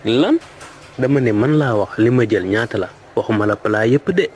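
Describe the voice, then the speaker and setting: Man playing cartoon boyfriend, Senegalese accent, smooth talker, lively melodic swings, faint background noise. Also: medium pitch, normal speed, close to an everyday voice. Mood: assertive. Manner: mixes French phrases into Wolof. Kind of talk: comic animated cartoon dialogue.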